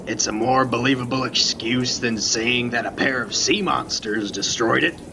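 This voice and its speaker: A man's voice: medium pitch, normal speed, creaky, close to an everyday voice. A man playing a seasoned, wry lighthouse keeper in an amateur radio play, speaking in a dry, matter-of-fact way. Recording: noisy rain and storm ambience.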